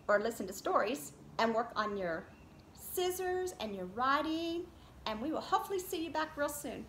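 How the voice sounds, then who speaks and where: A woman's voice: high-pitched, a bit bright. A middle-aged woman, a preschool teacher reading a picture book aloud and talking expressively to young children. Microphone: camera a short distance away. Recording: roomy echo, clear background noise.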